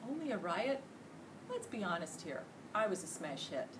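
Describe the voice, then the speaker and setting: Woman voicing an excitable little storybook hamster. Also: normal speed. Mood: proud. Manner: excitable, squeaky exclamations. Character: small, eager, proud classroom pet hamster narrating his adventures. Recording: noisy room, distant microphone.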